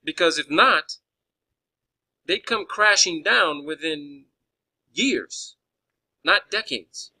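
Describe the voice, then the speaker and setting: A man's voice: fairly high pitch, animated, slow pace, long pauses, bright, forceful tone. A man arguing in a phone conversation as a caller, pressing his points insistently and often restarting his phrases. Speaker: caller questioning a space agency official.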